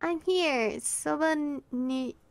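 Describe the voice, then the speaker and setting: A woman's voice: sits high, drawn-out words, no room sound, faint music behind, voice pushed up, full character voice. A woman doing a smug, goofy teen prankster. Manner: smug, goofy, mock-sincere. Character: cocky teasing teen girl prankster.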